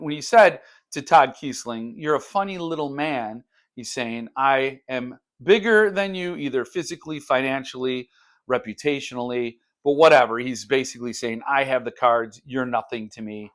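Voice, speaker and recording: A man's voice: mid-range voice, a bit bright. A man talking casually and unscripted as a book vlogger, thinking aloud in broken, conversational phrases. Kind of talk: casual unscripted talk to viewers.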